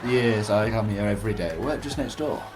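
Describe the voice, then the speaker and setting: Man playing a pompous, scheming politician villain, low-voiced. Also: brisk, close to an everyday voice. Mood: falsely cordial. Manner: pompous, florid, formal wording. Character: smooth, scheming male politician villain, falsely cordial. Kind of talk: radio drama acting.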